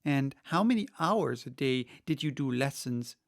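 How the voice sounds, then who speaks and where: A man's voice: medium pitch, expressive, a little slow, gravelly, dry recording, clean studio sound. A man voicing a polite, curious young girl from a storybook, with a plain questioning delivery. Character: polite, curious young girl Alice, timid yet indignant. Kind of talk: storybook character reading.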